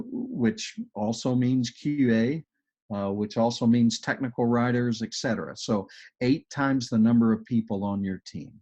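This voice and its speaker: Texas guy explaining on a webinar, easygoing and chatty, lots of ums, mid pitch, kinda dull call audio. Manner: relaxed, chatty, many ums and restarts. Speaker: agile coach, webinar presenter.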